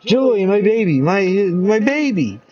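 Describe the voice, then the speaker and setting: A woman's voice: on the low side, expressive, moderate pace, dark tone, voice pushed up, natural voice. A man voicing a doting small-town mother who gushes over her son back from war. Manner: fussing, tearful, gushing. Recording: dull lo-fi recording.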